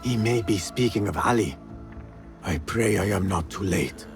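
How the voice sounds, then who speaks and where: An actor playing an earnest, purposeful young assassin in a video game. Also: male voice, lowish voice, moderate pace, faint music behind, lightly acted. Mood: grim focus. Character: earnest young male assassin, urgent and protective.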